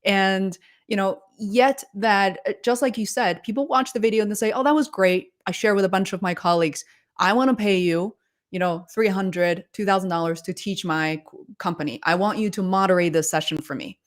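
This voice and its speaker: A woman's voice: medium pitch, a little fast. A woman hosting a livestream interview over a video call, talking in an enthusiastic, effusive conversational way. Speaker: livestream host.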